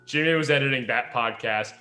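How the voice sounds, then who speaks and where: A man chatting casually as a podcast host, telling stories in an animated way. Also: medium pitch, fairly quick, soft background music. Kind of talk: casual talkback podcast chat.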